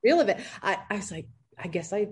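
A woman in her thirties, an entrepreneur answering interview questions in a chatty, lively way with many fillers. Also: mid-range voice, fast talker. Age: 30s. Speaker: entrepreneur guest.

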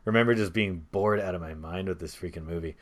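A man's voice: low voice, fast. A man co-hosting a podcast, talking casually about movies in an informal, slangy way. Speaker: podcast co-host.

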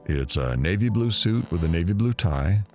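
Very deep-voiced, dry male investigator, played by an actor. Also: expressive, normal speed, faint music behind. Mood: wry, matter-of-fact. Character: dry, sardonic male investigator searching rooms. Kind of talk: audio drama acting.

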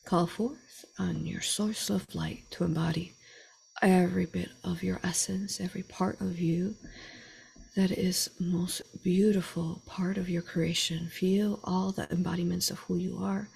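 A woman leading a slow guided meditation in a soft, breathy voice that often drops to a whisper, with long pauses. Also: fairly low pitch, fairly even intonation, slight background noise, rough recording. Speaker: guided meditation leader and channeler.

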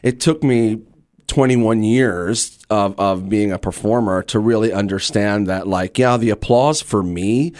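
Low-voiced, effusive middle-aged man, close dry sound. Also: measured pace, a little mellow, dry recording. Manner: effusive, emphatic repetition. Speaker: guest, actor and theater teacher. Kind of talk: interview conversation.

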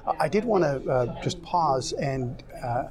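A man hosts a podcast interview, his voice sitting at a medium pitch. He asks his questions haltingly, restarting phrases and filling gaps with uhs. Loud crowd chatter from a conference fills the background. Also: expressive. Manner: hesitant, many restarts and fillers. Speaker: podcast host. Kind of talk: conversational interview. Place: conference venue. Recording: busy crowd chatter behind.

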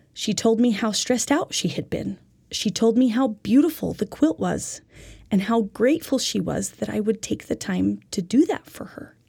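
A woman's voice: mid-range voice, creaky, warm tone, husky, dry recording, studio quality. A woman telling a personal faith story as part of a religious lesson, in an earnest, reflective way. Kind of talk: personal testimony told as a teaching story.

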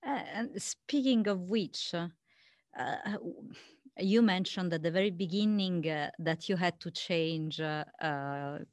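A woman's voice: on the low side, takes it slowly, no room sound. A woman interviewing a guest, asking long questions and offering frequent supportive affirmations. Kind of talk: conversational interview.

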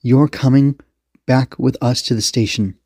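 Man putting on a brash, barking policewoman, pitched up. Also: fairly even intonation, a little slow, warm tone, slightly rough, dry recording, studio quality. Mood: taunting. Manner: taunting, barking, slangy. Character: brash, foul-mouthed, cocky young policewoman commander.

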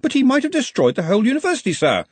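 A man's voice: sits high, big pitch swings, a little fast, breathy edge, dry recording, pitched above the performer's own voice, heavily acted. A man voicing an anxious, pedantic, long-suffering wizard's assistant in a storybook, fussy and deferential. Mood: anxious protesting.

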